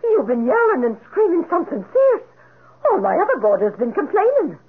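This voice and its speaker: A woman's voice: very high-pitched, huge pitch swings, brisk, heavily acted. An actress playing a chatty, fretting landlady of a boarding house in a radio drama. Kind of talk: 1940s radio acting. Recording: tinny noisy old radio recording.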